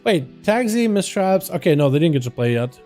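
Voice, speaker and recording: A young man from Russia with a high yet mellow voice, loosely calling video game duels on a livestream and chatting to viewers as "boys." Faint music plays underneath. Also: sing-song, a little fast. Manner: chatty, calls out to chat as "boys". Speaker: livestream host and duel caster. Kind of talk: casual game commentary.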